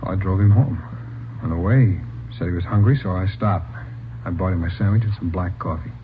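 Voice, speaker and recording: Actor as fast-talking anxious young rookie cop, old radio. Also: male voice, sits low, natural voice. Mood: earnest, explaining. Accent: American. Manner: deferential, halting, pleading. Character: anxious young rookie policeman, earnest and respectful. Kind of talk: 1950s radio drama acting. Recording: old radio, narrow band, noisy.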